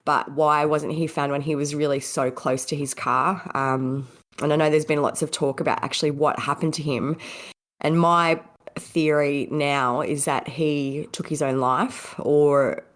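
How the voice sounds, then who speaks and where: A woman's voice: sits low, fairly even intonation, a little slow. A woman co-hosting a podcast, sounding congested from a cold, chatting casually with giggles and fillers. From Australia. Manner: giggly, rambling with many fillers. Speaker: podcast co-host.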